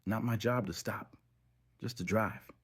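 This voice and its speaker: Narrator as gruff bus driver, male, harsh, curt, flat delivery, horror audiobook. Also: on the low side, measured pace, no echo, clean studio sound, voice pushed up. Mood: flat, stubborn.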